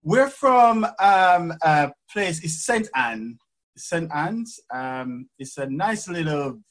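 A man from Jamaica with a Jamaican accent, a guest in an interview who speaks hesitantly with many pauses and laughs easily. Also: fairly high pitch, expressive, fairly bright tone. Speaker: guest florist. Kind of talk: casual interview chat.

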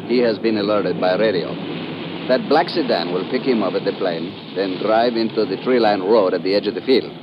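A man plays a formal military colonel in a vintage radio thriller. His voice is fairly low, measured and authoritative. The old recording is muffled, with clear noise behind him. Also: close to an everyday voice. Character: formal male colonel giving precise orders. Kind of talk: old-time radio drama acting.

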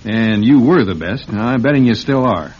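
A man's voice: mid-range voice, lively intonation, moderate pace, darkish tone, husky. An actor playing a polite, persuasive businessman with a shady offer, courteous and a little hesitant, in an old-time radio drama. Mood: coaxing. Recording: muffled old radio recording.

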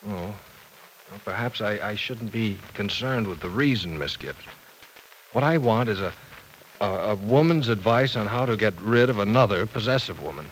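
A man's voice: on the low side, lively intonation, normal speed, natural voice, poor audio. An actor in a vintage radio soap playing a timid, bookish professor who stammers mildly and hesitates as he talks. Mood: hesitant. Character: timid, bookish male professor, baffled by women. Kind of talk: 1940s-50s radio soap acting. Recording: old radio recording, faint noise.

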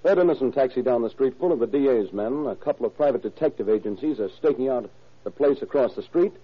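An actor playing a cocky, wisecracking newspaper reporter in an old-time radio drama, flippant, nosy and pushy, with slangy delivery. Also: male voice, medium pitch, lively intonation, fast, breathy edge. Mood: pushy, skeptical. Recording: dull vintage radio recording.

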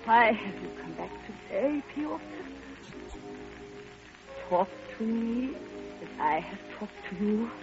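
An actress playing a grieving, lovelorn older woman in a classic radio drama, speaking in a halting, theatrical and impassioned way. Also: medium pitch, lively intonation, creaky. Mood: grieving longing. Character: grieving, lovelorn old woman pouring out her heart. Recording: muffled vintage radio recording with music.

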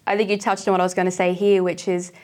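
A woman's voice: fairly low pitch, level tone, fast talker, a little mellow. Woman host asking pointed questions. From Australia. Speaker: show host. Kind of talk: interview questions.

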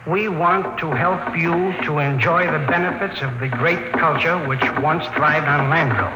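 Guy playing earnest polite young space officer, highish voice, old radio show w music. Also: unhurried, roomy sound, close to an everyday voice, low-quality recording. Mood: questioning, patient. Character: earnest, deferential young male patrol linguist. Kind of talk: old-time radio drama acting. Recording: vintage radio recording, music behind.

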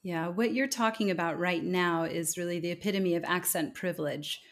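A woman's voice: on the low side, moderate pace. An American woman speaking as a podcast guest, explaining ideas in an articulate, emphatic way. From United States. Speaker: podcast guest, accent expert. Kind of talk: conversational interview.

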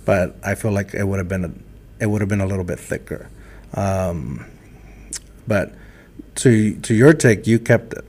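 Host, man, low-pitched, slight Mexican-Texan accent, halting, reflective delivery. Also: a little mellow. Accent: Mexican-influenced Texan. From Texas border town. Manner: hesitant, many fillers and restarts, reflective. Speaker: podcast host. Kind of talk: casual interview talk.

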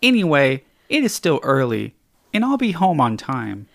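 A woman voicing a naive, trusting little girl in a red cap, in a slow, wide-eyed, sing-song storybook read-aloud. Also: low voice, very animated, vocal fry, pitched below the performer's own voice, heavily acted. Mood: innocent.

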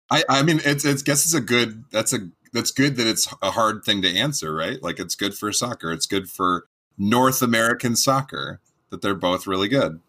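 A man hosting a casual podcast over an internet call, energetic and quick to jump to new topics. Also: lowish voice. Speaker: podcast host. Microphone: remote internet call.

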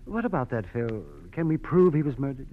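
A man's voice: medium pitch, animated, a little fast, close to an everyday voice. Casting: earnest male investigator played by an actor, emphatic and polished, on a dull vintage radio recording. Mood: insistent. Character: earnest, excitable male lawman hiding a crooked streak. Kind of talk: classic radio drama acting. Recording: muffled noisy old radio recording.

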